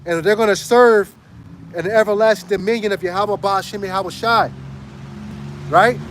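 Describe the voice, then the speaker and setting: Street preacher, man, high voice, hoarse, emphatic and repetitive, faint traffic behind. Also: normal speed. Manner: emphatic, repeats phrases and asks "right?". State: hoarse, losing his voice. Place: outdoors on a city street.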